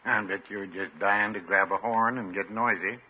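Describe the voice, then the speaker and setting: An actor playing a mild, homely shopkeeper in a 1950s radio comedy, folksy and drily teasing. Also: male voice, fairly low pitch, fairly even intonation, normal speed, natural voice. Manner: folksy, dry, understated. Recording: noisy, muffled 1950s radio recording.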